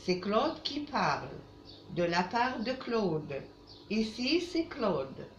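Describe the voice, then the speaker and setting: Woman, language teacher, didactic, repetitive, slow delivery, lively intonation, dull roomy recording with faint noise. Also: mid-range voice. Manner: didactic, repeats phrases, switches into halting English. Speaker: French teacher. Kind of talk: language lesson for children, with repetition.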